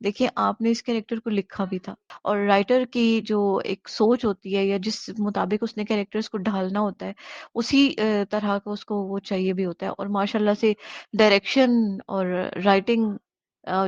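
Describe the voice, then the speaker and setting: A woman's voice: mid-range voice, darkish tone, no echo. A woman answering interview questions in a conversational way. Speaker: amateur audio drama actress being interviewed. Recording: dry, slightly dull lo-fi sound.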